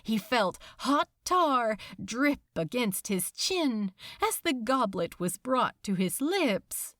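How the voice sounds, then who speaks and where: A woman reading fiction aloud as a narrator, in a theatrical, intense and deliberate manner. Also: a little high, very animated, fairly bright tone, no echo, studio quality. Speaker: podfic narrator. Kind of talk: dramatic scripted fiction narration.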